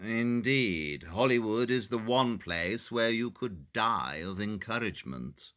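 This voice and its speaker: An actor playing a formal, dry-witted, analytical gentleman detective in an audio drama. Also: on the low side, lively intonation, takes it slowly. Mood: dry, wry. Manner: formal, precise, clipped.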